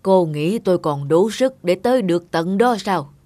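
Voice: mid-range voice, animated, moderate pace, no echo, close to an everyday voice. An actor playing a grumpy, fault-finding old man in a cartoon, with a blunt, complaining delivery. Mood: sour, dismissive. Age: older. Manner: blunt, dismissive. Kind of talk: animated cartoon dubbing. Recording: loud music under the voice.

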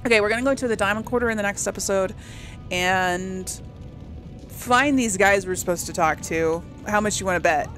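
A woman's voice: medium pitch, normal speed, a bit bright. A woman hosting a casual let's play, chatting and thinking aloud as she plays. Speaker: gaming channel host. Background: game music.

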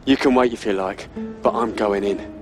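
An actor playing a hot-headed police detective in a crime game, blunt and defiant. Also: male voice, fairly low pitch, normal speed, over music, natural voice. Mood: determined. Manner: blunt, insubordinate.

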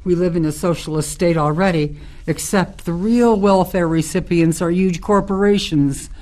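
A woman playing a tough, outspoken ex-military forest ranger in a radio drama, speaking in a measured, emphatic way. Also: fairly low pitch, darkish tone, close to an everyday voice, poor audio. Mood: grave, critical.

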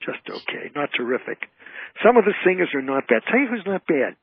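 Husky man on a tinny phone line. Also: a little high, very animated, brisk, dry recording. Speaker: radio show guest, entertainer and trivia author. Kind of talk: casual interview chat.

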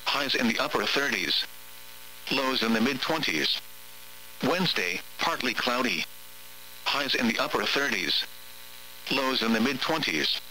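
A synthetic male text-to-speech voice reading a scripted weather forecast in a formal, measured announcer manner. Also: medium pitch, animated, sharp tone, poor audio. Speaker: automated weather radio announcer. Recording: radio broadcast with faint hum.

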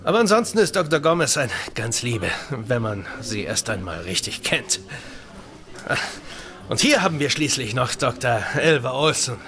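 A man's voice: medium pitch, very animated, moderate pace, a crowd in the background. A man playing an authoritative geophysicist in a radio thriller, speaking in a matter-of-fact way. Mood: courteous, matter-of-fact. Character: authoritative male geophysicist, senior team member. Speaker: actor in an audio drama. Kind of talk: radio play dialogue.

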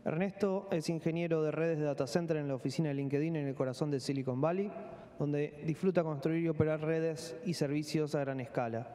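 A man's voice: fairly high pitch, fairly quick, mellow. A male moderator formally reading out a speaker's introduction at a conference. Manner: formal, read-out. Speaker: session moderator. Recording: hall reverb.

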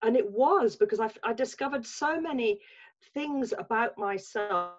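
A woman's voice: a little high, animated, normal speed, faint music behind. English woman, reflective, dull call audio. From England. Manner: reflective, many fillers and restarts. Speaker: guest, life coach. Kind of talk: casual video-call interview. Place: home room with bookshelves. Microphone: laptop webcam mic on video call.

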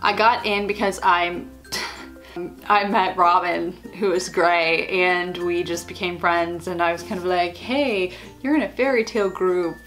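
A woman's voice: on the low side, steady tone, unhurried, fairly bright tone, soft background music, rough recording. A young woman in her twenties chatting animatedly to a webcam in a small bedroom, telling stories and acting out what her friends said. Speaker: vlogger. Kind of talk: casual storytime chat.

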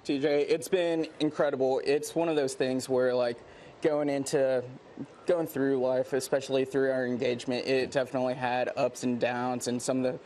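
A man's voice: medium pitch, little pitch movement, normal speed, a little mellow, slight background noise. A man in his twenties giving an unscripted interview outdoors as a groom. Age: 20s. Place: outdoors in a large theme park plaza.

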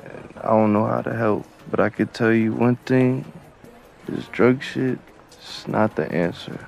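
An actor playing a stoned, caring young drug dealer in a screen drama, with a slangy, mumbled drawl. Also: male voice, on the low side, measured pace, vocal fry, darkish tone. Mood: earnest, concerned. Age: young adult. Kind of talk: naturalistic screen drama dialogue. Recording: faint music behind the voice.

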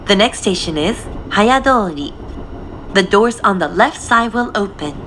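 A woman announcer reading formal, measured, scripted station announcements over a train's speakers. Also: medium pitch, animated, fairly bright tone. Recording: train announcement with clear rail noise. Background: train running noise.

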